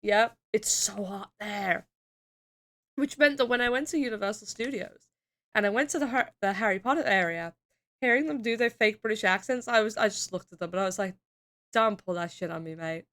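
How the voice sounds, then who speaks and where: A woman's voice: mid-range voice, a little fast, fairly bright tone. A young woman from the UK chatting casually on a stream, reading chat aloud, laughing often and swearing. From United Kingdom.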